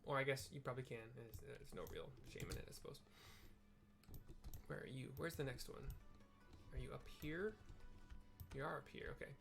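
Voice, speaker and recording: A man's voice: mid-range voice, normal speed, vocal fry, long pauses, fairly bright tone, soft background music. A man casually narrating a game playthrough, describing what is on screen with offhand, muttered asides. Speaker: gaming video creator.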